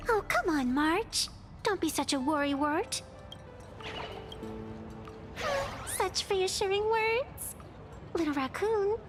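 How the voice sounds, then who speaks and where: A high-pitched young female game character, musing and playful by nature. Music plays underneath her lines. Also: expressive, measured pace. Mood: playful, teasing. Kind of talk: video game voice acting.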